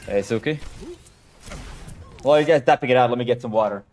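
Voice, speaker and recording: A man's voice: medium pitch, fairly quick, a little mellow, soft background music. A man, a native Hebrew speaker, chatting casually as a game coach on a live stream and answering questions in a direct way.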